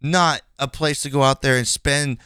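A man's voice: medium pitch, fairly even intonation, normal speed, pushed and bright, clean tone, no room sound, studio quality. A man in his twenties hosting a casual podcast conversation, speaking frankly and rambling with many fillers and restarts. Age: 20s. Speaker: podcast host.

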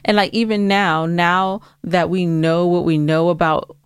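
An American woman with a smooth, clear voice on the lower side co-hosts a podcast. She speaks casually but bluntly, stressing her points with disapproval. The recording is dry and free of room sound. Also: steady tone, unhurried, no echo. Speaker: podcast co-host. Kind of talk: casual banter.

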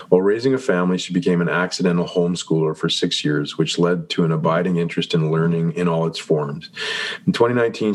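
Deep-voiced male podcast host. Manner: rambling questions with restarts. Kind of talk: reads a guest bio, then casual interview questions.

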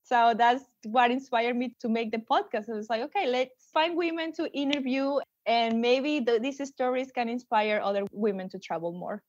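A woman's voice: on the high side, normal speed, few pauses, no room sound, dull audio. A woman from Venezuela with a Venezuelan Spanish accent, a podcast guest chatting casually and laughing often. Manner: animated, laughing often, small restarts. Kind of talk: casual interview chat.

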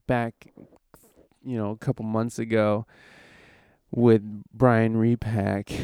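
Podcast host, male, a bit hoarse from a cold, rambling delivery, smooth dry close sound. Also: lowish voice, a little mellow, dry recording. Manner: rambling, easygoing, many fillers. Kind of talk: casual podcast chat.

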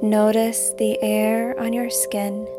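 A woman guiding a meditation as scripted narration, calm and soothing, with deliberate phrasing. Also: medium pitch. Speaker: meditation guide and podcast host. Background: music behind the voice.

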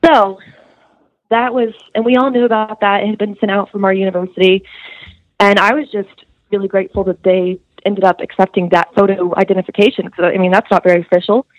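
A woman's voice: mid-range voice, little pitch movement, brisk, no room sound. A young woman, a student, earnestly recounting a detailed story in an interview, with casual fillers. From United States. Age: young adult. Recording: dull, muffled dry recording.

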